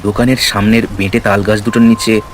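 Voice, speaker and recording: A man's voice: on the low side, flat delivery, brisk, a little hiss or hum. A man reading a scripted horror story as narrator, in storytelling style. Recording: rain and ambience behind the voice. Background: rain sound effects.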